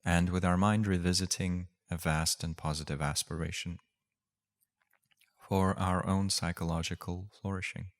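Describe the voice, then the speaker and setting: A man leading a guided meditation as a teacher, speaking gently and unhurriedly with long pauses. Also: deep voice, steady tone.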